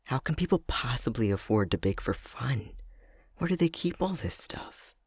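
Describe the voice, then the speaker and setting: A young man playing a clumsy, self-conscious fox-shifter boyfriend in an intimate ASMR roleplay, speaking hesitantly with a soft, breathy, gravelly voice. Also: mid-range voice, very animated, normal speed, no room sound, studio quality. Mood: baffled, overwhelmed. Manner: hesitant, stammering, self-deprecating.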